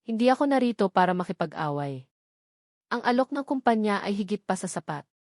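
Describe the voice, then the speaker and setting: A woman's voice: medium pitch, big pitch swings, a little fast, darkish tone, no echo. Casting: woman narrator as a rich, arrogant male executive, insistent, in a dramatic audio story, dry sound. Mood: pleading. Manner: pleading turning businesslike and insistent. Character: wealthy, arrogant businessman, a former lover, pressing an offer.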